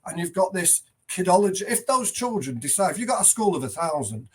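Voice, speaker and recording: Husky-voiced man, earnest and emphatic. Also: high-pitched, big pitch swings, fairly quick. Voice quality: loud voice. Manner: earnest, emphatic, frequent restarts. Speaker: headteacher, podcast guest. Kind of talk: conversational interview.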